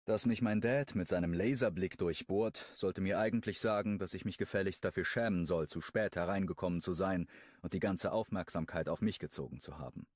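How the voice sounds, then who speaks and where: A man reading a romance audiobook in the first person, calm and introspective. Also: deep voice, a little fast, no room sound, clean studio sound. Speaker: audiobook narrator.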